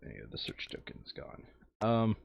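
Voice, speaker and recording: A man's voice: moderate pace, no echo, dull audio. A man chatting casually while playing a board game, thinking aloud as he works through moves. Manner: thinking aloud, muttering counts. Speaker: tabletop board game player. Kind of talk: casual chat during board game play.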